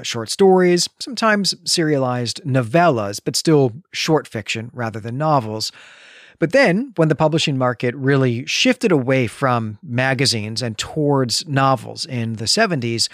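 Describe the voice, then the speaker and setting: An American man with a mid-pitched voice hosts a book podcast. He speaks conversationally but explains carefully, circling back to clarify his points. The sound is close and dry. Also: no echo. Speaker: podcast host. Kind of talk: conversational literary podcast discussion.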